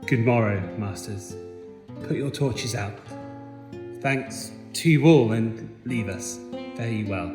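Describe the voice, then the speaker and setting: An actor playing a courteous, dignified prince in a Shakespearean audio play. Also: male voice, medium pitch, takes it slowly, lightly acted. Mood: subdued, courteous. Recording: echoey hall, loud guitar music underneath.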